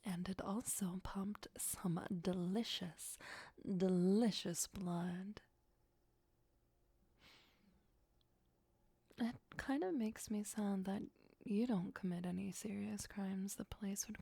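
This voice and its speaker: A woman playing a possessive, sweetly obsessive vampire girlfriend in an ASMR roleplay, mostly whispering in a breathy, intimate, doting way. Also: mid-range voice, mellow, no room sound, heavily acted. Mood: playful, wry.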